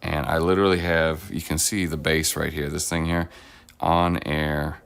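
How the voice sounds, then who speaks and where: A middle-aged man hosting a casual livestream from his home table, rambling and self-deprecating, his voice deepened while getting over an illness, recorded on a phone. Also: level tone, normal speed, creaky, fairly bright tone, smooth. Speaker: streamer host. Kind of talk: casual livestream chat while painting miniatures. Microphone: phone on a clamp arm.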